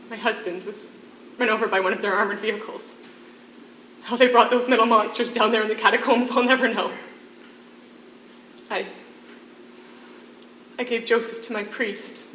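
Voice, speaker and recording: A woman acting in a stage play as a defiant condemned prisoner and devoted mother, speaking in earnest, deliberate phrases. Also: medium pitch, sharp tone, natural voice. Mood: grieving, grave. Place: theatre stage, live performance. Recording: echoey stage recording with faint noise.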